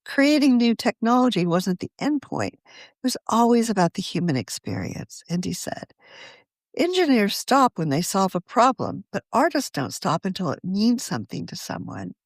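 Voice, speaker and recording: A woman narrates a written article for a podcast with lively, varied intonation. She reads in a measured, articulate manner. The sound is dry and close, with no room echo. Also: mid-range voice. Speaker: magazine editor reading her own article.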